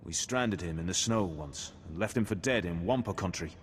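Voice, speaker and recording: An actor playing a grave, wry Imperial captain in a video game, speaking formally and in measured phrases. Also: male voice, low voice, big-room sound, slight background noise, lightly acted. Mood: grim, resolute.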